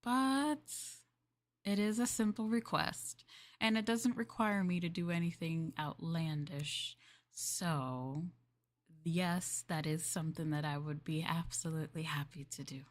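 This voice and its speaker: A woman's voice: fairly low pitch, fairly even intonation, a little slow. A woman streamer playing a maid in a roleplay, chatting with viewers in a playful, teasing and politely formal way.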